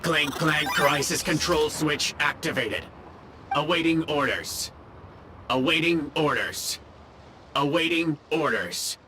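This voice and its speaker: A man voicing an emotionless robot in a video game, announcing in a flat, repetitive way. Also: a little high, slow pace, slight background noise. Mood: flat, obedient. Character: emotionless robot obeying a crisis control switch. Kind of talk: scripted video game voice acting.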